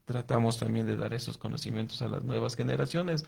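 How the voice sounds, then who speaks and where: A man's voice: medium pitch, brisk, vocal fry, a little mellow, breathy edge. A man with an Ecuadorian accent answering interview questions conversationally, explaining things and often restarting his sentences. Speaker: interview guest, watercolor artist and youth coordinator.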